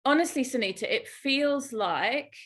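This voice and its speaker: A woman's voice: sits high, lively intonation, a little slow, a bit bright. A middle-aged woman with an English accent, telling personal stories as a guest in a conversational podcast interview. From England. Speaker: guest, yoga teacher and Ayurveda guide.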